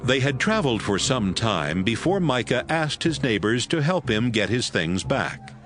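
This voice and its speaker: A man narrating scripture in a steady, measured way. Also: medium pitch, over music. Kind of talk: scripted audio Bible narration.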